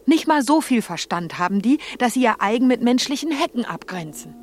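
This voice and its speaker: A woman's voice: fairly high pitch, lively intonation, fairly quick. An actress playing a resolute, blunt young refugee woman in radio play dialogue, plain-spoken and feisty. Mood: resolute, scornful.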